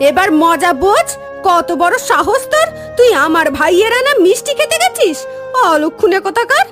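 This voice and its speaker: A woman playing a cruel stepmother in a cartoon story, scolding and threatening in a shrill manner. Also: sing-song, normal speed, a bit bright, soft background music, heavily acted. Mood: furious.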